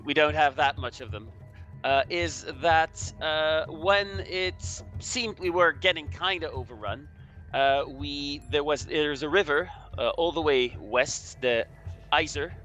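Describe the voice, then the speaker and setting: A man from Belgium with a bright, fairly high voice explains things casually as a guest commentator. He is hesitant and halting, repeating words as he goes. Some music plays behind him.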